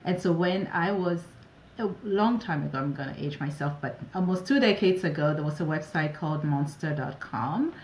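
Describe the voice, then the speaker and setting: A woman in her forties whose first language is French, from Ivory Coast and Ghana, telling stories lively as an interview guest. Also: deep voice, moderate pace, a little hiss or hum. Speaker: guest, operations advisor. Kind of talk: casual interview answers. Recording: echoey room.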